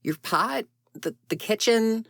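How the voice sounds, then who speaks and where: A woman's voice: a little high, expressive, slow, vocal fry, no room sound, clean studio sound, full character voice. A woman playing an exasperated ghost girl in an audio roleplay, huffy and indignant, often muttering to herself. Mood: flustered, indignant. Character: grumpy, exasperated tsundere ghost girl haunting a house.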